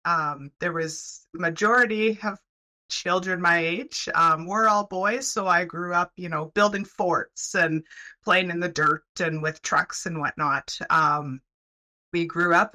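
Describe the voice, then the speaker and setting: A woman in her thirties from Canada, chatting as a podcast guest over a video call on a headset, friendly and quick to laugh. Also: fairly low pitch, sharp tone. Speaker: guest, welding program head. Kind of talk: casual interview chat. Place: home room, video call.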